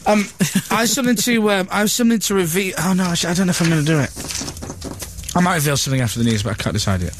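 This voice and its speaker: A man's voice: sits high, animated, rapid-fire, background noise. A man presenting a morning radio show, teasing his guest with giggly, sing-song banter. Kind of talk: playful breakfast radio chat.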